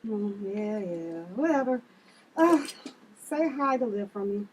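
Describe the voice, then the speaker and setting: A woman's voice: medium pitch, big pitch swings, unhurried, a little hiss or hum, rough recording. A woman reading the part of a blunt, sardonic sister with a dry, dismissive manner in a family drama table read.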